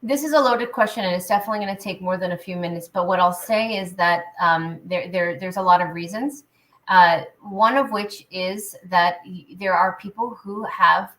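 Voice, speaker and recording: Lively spiritual healer woman guest. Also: fairly low pitch, low-quality recording. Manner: energetic, many fillers and restarts. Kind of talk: interview answers, spiritual talk.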